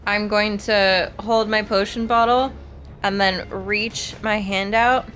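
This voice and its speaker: A woman's voice: mid-range voice, fairly even intonation, takes it slowly, fairly bright tone. A woman playing a tabletop fantasy game, casually describing her character's moves. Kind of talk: casual game play among friends.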